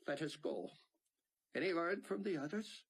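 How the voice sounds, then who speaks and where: High-pitched older Israeli man, earnest and formal, husky tone, a man putting him on. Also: expressive, normal speed. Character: older Israeli man, gentle, scholarly, devout.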